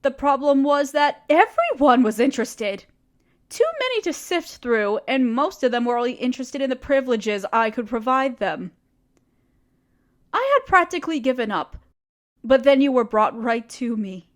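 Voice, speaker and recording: A gentle, motherly spider queen, acted by a woman in an audio roleplay. Her voice sits high and soft, patient and reassuring, with a doting, protective warmth in how she talks. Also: very animated, moderate pace. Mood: tender.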